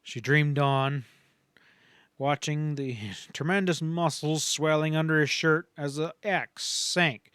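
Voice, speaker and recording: A man's voice: on the high side, steady tone, slow pace, a bit bright, clear, resonant tone, no room sound, studio quality. A man reading a Western novel aloud as scripted audiobook narration.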